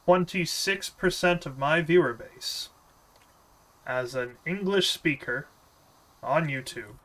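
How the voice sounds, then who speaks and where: A man with a bright, tense-edged voice chats loosely while he plays a game. He rambles through viewer questions in a relaxed, offhand way, with small laughs. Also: a little high, slow pace, low-quality recording. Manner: laid-back, rambling, answers chat questions with small laughs. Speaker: gaming streamer. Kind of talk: casual gameplay chat with viewers.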